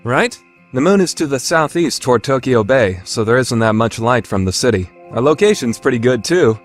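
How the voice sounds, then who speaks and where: Man playing an eager, animated teenage student president. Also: mid-range voice, moderate pace, soft background music, close to an everyday voice. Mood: earnest, eager. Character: earnest teenage student council president, boyishly enthusiastic stargazer. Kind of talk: anime-style fan dub radio play acting.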